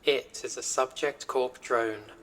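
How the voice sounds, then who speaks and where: A man voicing an impassive corporate AI in an audio roleplay, reciting directives in a formal, measured, impersonal way. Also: mid-range voice, fairly even intonation, a bit bright. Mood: impassive, instructive. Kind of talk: scripted audio roleplay announcement.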